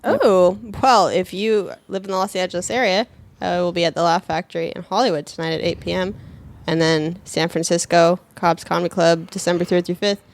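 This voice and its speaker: A woman's voice: fairly low pitch, fairly even intonation, a little fast. A woman, a comedian guest on a podcast, in casual joking chat.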